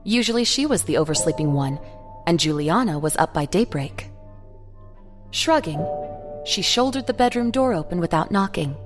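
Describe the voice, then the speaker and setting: A woman's voice: lowish voice, expressive, moderate pace. Woman narrating a drama over music. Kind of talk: scripted narration of an audio drama.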